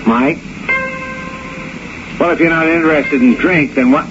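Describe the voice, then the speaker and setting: An actor playing a genial, indulgent, party-loving friend in a 1970s radio drama. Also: male voice, a little high, unhurried, natural voice. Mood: jovial. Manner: jovial, indulgent. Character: genial party-loving American government friend of a young airman. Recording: dull vintage radio recording, music behind.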